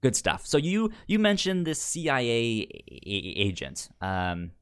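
A man co-hosting a casual film discussion, speaking haltingly and often repeating syllables and words. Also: mid-range voice, wildly swooping pitch, smooth. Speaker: podcast co-host.

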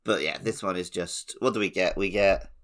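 A man's voice: low-pitched, normal speed, fairly bright tone. A man co-hosting a video game podcast in an informal, slangy, conversational way. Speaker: podcast co-host. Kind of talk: casual video game chat.

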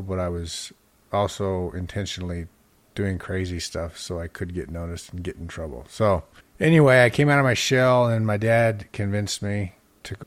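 A middle-aged man from Utah with an American accent, telling personal stories casually as a podcast interviewee. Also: lowish voice. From Utah, United States. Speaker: podcast host being interviewed as guest.

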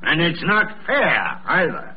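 A man's voice: fairly high pitch, wildly swooping pitch, takes it slowly, full character voice. An actor playing a miserly, bad-tempered old businessman in an old-time radio drama, scolding and muttering. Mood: grudging, grumbling. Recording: muffled, noisy vintage radio recording.

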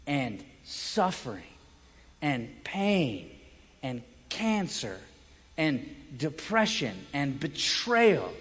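A male pastor delivers a sermon in a notably high-pitched voice, with long reverb from a large hall. His delivery is emphatic, moving between raised volume and hushed phrases, and he leaves dramatic pauses for weight. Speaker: pastor preaching. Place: large indoor room, preaching to a congregation.

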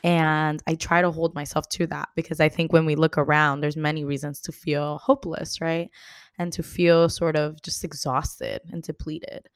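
A woman's voice: sits low, little pitch movement, moderate pace, clean tone, no echo, studio quality. A woman hosting a casual interview, asking reflective questions in an earnest way with many fillers. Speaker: host.

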